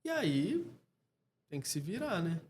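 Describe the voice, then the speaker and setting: A Brazilian man speaking as a podcast guest in a casual interview, reflective and halting, often checking in with short tag questions. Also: high voice, big pitch swings, a little mellow, slight background noise. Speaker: podcast guest, clothing store co-founder.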